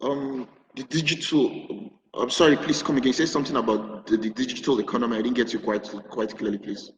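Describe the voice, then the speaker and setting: A man's voice: mid-range voice, fairly even intonation, a little fast, slight background noise. A Nigerian man whose first language is Igbo answers interview questions on a call, hesitant, with many restarts and fillers. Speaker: interview guest, web developer. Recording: roomy, echoey call audio with long reverb.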